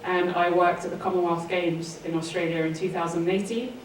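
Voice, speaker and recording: A woman's voice: lowish voice, steady tone, brisk. A woman in her forties with a southern English accent, giving earnest, articulate answers as an interview guest. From England. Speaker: interview guest, sports author. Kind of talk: interview answers, personal storytelling. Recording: roomy echo, distant mic, faint noise.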